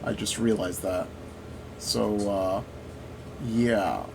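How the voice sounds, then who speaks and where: A man's voice: lowish voice, fairly even intonation, very slow, vocal fry, noisy background. A man giving casual gameplay commentary, rambling and thinking aloud as he plays. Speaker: gaming video host.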